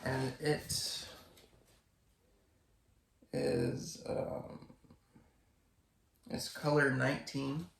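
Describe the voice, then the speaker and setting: Hesitant young man, slow gravelly voice. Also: mid-range voice, expressive, fairly bright tone, echoey room, a little hiss or hum. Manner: hesitant, many restarts and fillers. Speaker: podcast co-host. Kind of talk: casual chat.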